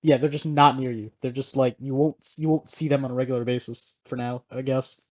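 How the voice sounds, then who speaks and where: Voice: mid-range voice, fairly even intonation, brisk. A young adult podcast co-host chatting casually in a rambling, hedging way. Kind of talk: casual chat. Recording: dull lo-fi audio.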